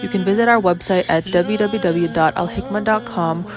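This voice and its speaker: A woman reading a promotional announcement in a brisk, informative way. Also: medium pitch, over music.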